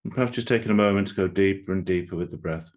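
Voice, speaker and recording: Low-voiced man guiding a gentle meditation. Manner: gentle, unhurried, with long pauses. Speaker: meditation guide leading a live group session. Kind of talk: guided meditation.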